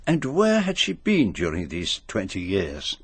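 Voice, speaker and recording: An actor playing a courteous, shrewd Belgian detective with a French-speaking accent, questioning formally and deliberately in a radio mystery drama. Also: male voice, low voice, wildly swooping pitch, breathy edge, no echo, heavily acted. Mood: probing, courteous.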